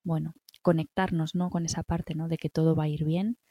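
A woman's voice: deep voice, flat delivery, a little fast, mellow, dry recording, studio quality. A middle-aged woman with a Spanish accent from Spain, giving encouraging, reassuring self-care advice in conversation, often checking in with little tag questions. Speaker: wellbeing guest giving advice.

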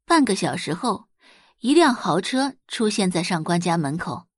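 A woman reading scripted fiction narration as an audiobook narrator, in an expressive storytelling style. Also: lowish voice, moderate pace, slightly rough, no echo, clean studio sound.

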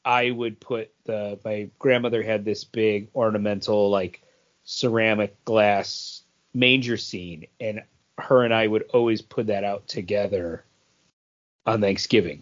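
An American man speaking casually as a podcast co-host. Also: on the low side. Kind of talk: casual chat. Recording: dull lo-fi voice recording.

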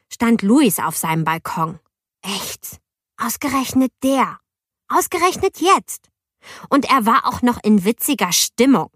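A woman's voice: fairly high pitch, no echo, clean studio sound. A woman voicing an indignant ten-year-old girl who narrates her misadventures expressively in an audiobook. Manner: expressive, youthful, exasperated. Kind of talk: audiobook first-person narration.

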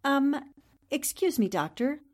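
Lively, firm heroine newspaperwoman. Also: sits high, a little slow. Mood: wary politeness. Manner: polite, firm, articulate. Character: poised, intelligent, firm female newspaper columnist heroine. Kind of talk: 1940s radio drama acting.

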